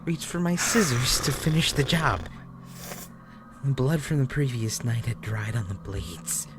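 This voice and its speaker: A man voicing a disturbed, obsessive narrator of a horror story, speaking intensely and deliberately in a dramatic first-person reading. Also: mid-range voice, close to an everyday voice. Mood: grim, explanatory. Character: disturbed obsessive man recounting mutilating his own eye. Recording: clear background noise with hum.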